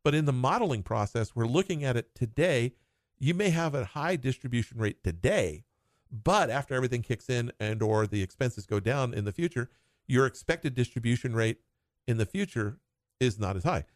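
A man's voice: medium pitch, animated, normal speed, dry recording, clean studio sound. A man who talks as a financial advisor, explaining retirement planning in short clauses and laughing now and then. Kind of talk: conversational explanation.

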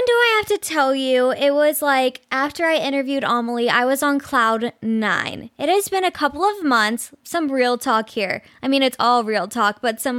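A woman's voice: on the high side, sharp tone, no room sound, clean studio sound. A young woman in her twenties hosting a casual solo podcast, chatty and dramatic, often laughing as she talks. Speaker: podcast host.

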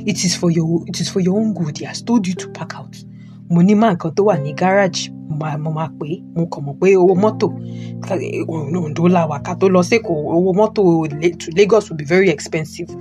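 Old Nigerian father, acted by a woman, Yoruba accent, lowish voice, stern, paternal, emphatic, audio drama, prominent guitar backing. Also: fast, lightly acted. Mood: firm, resigned. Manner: emphatic, repetitive, admonishing, paternal. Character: stern but supportive elderly Nigerian father. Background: guitar music.

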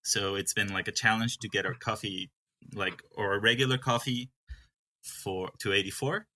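This is a young man from Peru who runs a coffee farm. His voice sits slightly low but has a bright, forward tone. He speaks casually, with frequent restarts and filler words. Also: steady tone, normal speed. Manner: hesitant, with many restarts. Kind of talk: casual interview.

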